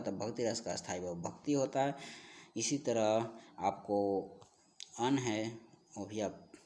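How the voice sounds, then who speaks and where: Male teacher, medium pitch, didactic, question-and-answer lecture, repetitive emphasis. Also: a little mellow. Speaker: exam-prep teacher. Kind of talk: instructional lecture, reading out questions and explaining answers.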